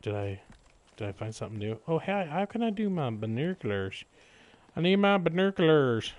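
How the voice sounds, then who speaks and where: A man's voice: on the high side, very animated, moderate pace, no room sound, dull audio. A man doing casual live gameplay commentary, exclaiming, swearing and muttering jokey remarks to himself. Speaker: video game live streamer.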